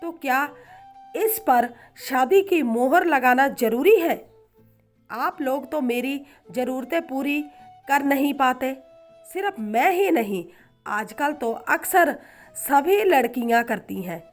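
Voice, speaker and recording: Woman voicing a defiant, high-pitched teenage daughter. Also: animated, slow, soft background music. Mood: brazen, indignant. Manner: argumentative, rhetorical questioning. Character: defiant, brazen nineteen-year-old daughter arguing back. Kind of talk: storybook dialogue in narration.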